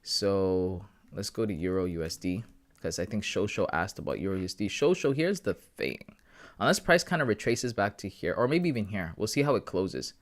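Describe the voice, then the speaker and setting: Man, thirties, somewhat low voice, casual slang, laughs a lot, live-streaming trader. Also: expressive, normal speed. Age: 30s. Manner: chatty, slangy, laughs often, addresses viewers. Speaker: livestreaming forex trader.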